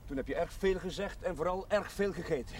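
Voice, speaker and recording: Man acting high-voiced, restless village chaplain. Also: a little fast, slight background noise, natural voice. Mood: wry, earnest. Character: restless, headstrong village chaplain, wry and irritable. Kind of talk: television drama acting.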